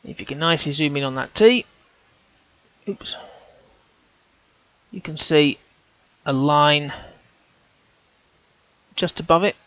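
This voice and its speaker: A man's voice: on the high side, takes it slowly, pauses often, fairly bright tone, dry recording, muffled recording. A man talking through on-screen images, casual and hesitant, often restarting his sentences as he thinks aloud. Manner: hesitant, self-correcting, casual. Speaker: amateur researcher.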